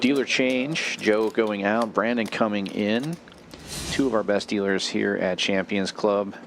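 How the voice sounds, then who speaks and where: A man calling live poker action as a stream commentator, in short, steady phrases. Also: fairly low pitch, a little slow, a little hiss or hum.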